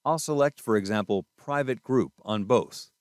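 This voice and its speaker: A man's voice: medium pitch, moderate pace, clean tone, no echo, clean studio sound. A man presenting a product tutorial, narrating scripted instructions step by step in a methodical way.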